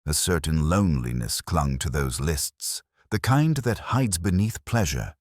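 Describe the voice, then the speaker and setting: A man with an extremely low voice narrates a detective story in the first person. His delivery is measured and literary, with expressive rises and falls in pitch. The recording is close and dry. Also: no room sound.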